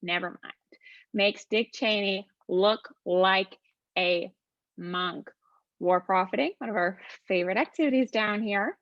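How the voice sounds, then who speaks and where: A woman's voice: medium pitch, unhurried, no echo, highs cut off, lightly acted. A woman playing a snarky, casual demon who is proud of corrupting humans, in a theatrical comic monologue. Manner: sardonic, casual, digressive.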